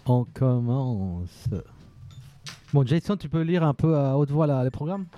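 Man with a mellow voice organizing a studio. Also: medium pitch, a little slow, a little hiss or hum. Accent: some accent. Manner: organizing, counting down the minutes. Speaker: radio show host. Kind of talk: casual studio banter before a live broadcast. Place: radio studio.

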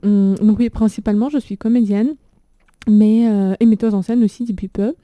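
A young actress chatting hesitantly, with many ums, recorded on a propped phone in a small room. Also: female voice, medium pitch, steady tone, moderate pace, dry recording, phone-quality sound. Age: young adult. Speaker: actress and poet. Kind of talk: casual chat.

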